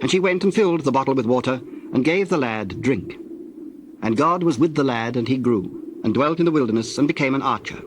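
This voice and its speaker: A man narrating scripture from a script in a solemn, measured manner. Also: medium pitch, expressive, a little hiss or hum.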